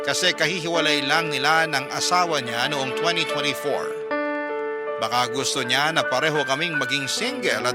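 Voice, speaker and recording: Guy radio narrator reading a letter story, voice a bit high, lots of music behind him. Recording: music bed under the voice. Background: prominent background music.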